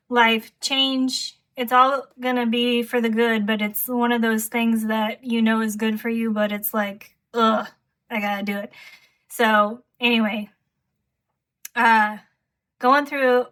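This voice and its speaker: A woman giving an intuitive tarot reading, calm and soft-spoken, her voice slightly hoarse from talking. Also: fairly high pitch. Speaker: tarot reader.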